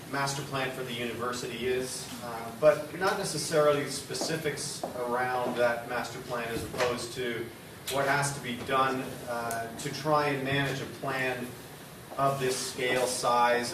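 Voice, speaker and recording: Man talking in a roomy hall. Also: medium pitch. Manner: conversational, loud-voiced, hesitant fillers. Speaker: university executive speaker. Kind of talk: symposium presentation. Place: lecture room or studio space. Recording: roomy hall from far off, noisy.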